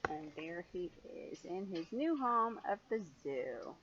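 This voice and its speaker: Animated older woman reading a storybook. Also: lowish voice, unhurried, husky, highs cut off. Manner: expressive, gently questioning the young listener. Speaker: grandmother reading a storybook to a child. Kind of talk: animated children's story reading with chatty asides.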